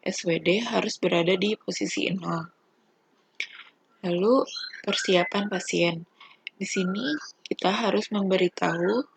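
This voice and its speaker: A young adult woman, a student giving an instructional presentation in a halting, deliberate, step-by-step way. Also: lowish voice, gravelly, slight background noise, poor audio. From Indonesia.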